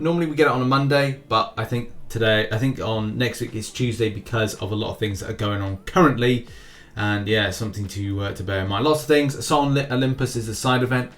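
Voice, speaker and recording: A man's voice: mid-range voice, fairly bright tone. A man hosting a gaming news update for his viewers in a casual, chatty style with jokey asides and emphatic, sing-song delivery. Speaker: host of a mobile game news channel. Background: background music.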